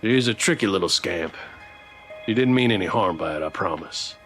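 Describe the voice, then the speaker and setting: A man voicing a big, warm, good-natured farmer dad from a visual novel, teasing and mock-dramatic, with music behind. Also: sits low, expressive, moderate pace. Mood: fond.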